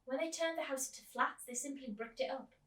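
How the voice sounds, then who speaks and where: A woman's voice: high voice, brisk, a bit bright, pitched above the performer's own voice. A woman voicing a matter-of-fact, dismissive mother in a storybook, practical and explanatory. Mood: dismissive, explaining. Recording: roomy echo, distant microphone.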